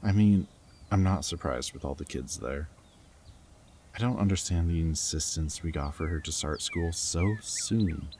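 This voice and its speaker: A man's voice: deep voice, darkish tone, a little hiss or hum. A man playing a goofy, affectionate young rebel scientist in an intimate roleplay audio drama, talking tenderly and earnestly to his partner. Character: goofy, affectionate young male rebel lab scientist and anxious foster parent.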